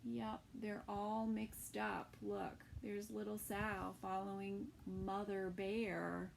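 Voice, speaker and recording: A woman preschool teacher reading a picture book aloud to young children, adding gentle asides and soft whispered comments. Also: mid-range voice, little pitch movement, slow, creaky, noisy background.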